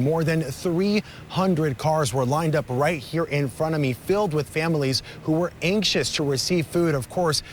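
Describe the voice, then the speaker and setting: A man's voice: on the high side, a little hiss or hum. A man reporting live for TV news from outdoors, with an emphatic, formal delivery. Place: outdoors on a city street at evening.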